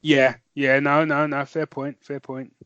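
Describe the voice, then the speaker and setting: A man's voice: fairly high pitch, little pitch movement, a little slow, sharp tone, no room sound, muffled recording. A man co-hosting a podcast, chatting with rambling enthusiasm and a lot of "man". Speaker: podcast co-host. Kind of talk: casual chat.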